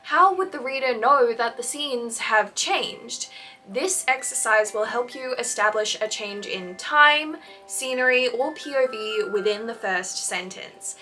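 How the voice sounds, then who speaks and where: A woman's voice: a little high, animated, measured pace, bright, forceful tone, far from the mic, rough recording. A woman hosting a scripted instructional video on writing, with articulate, emphatic phrasing. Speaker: host of fan fiction writing advice videos. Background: background music.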